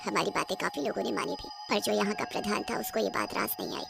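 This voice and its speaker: A woman voicing a vengeful, gloating witch in a cartoon horror story, taunting her captives with drawn-out threats. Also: medium pitch, vocal fry, music in the background, voice pushed down. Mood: bitter, vengeful. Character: vengeful, gloating female witch who runs a factory.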